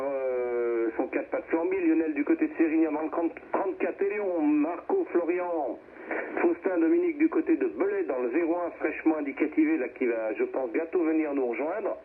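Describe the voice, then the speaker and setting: A man chatting in a casual, rambling way as host of an amateur radio net, heard over shortwave. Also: on the high side. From France. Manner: rambling, many verbal tags. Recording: narrowband shortwave radio, clear static noise.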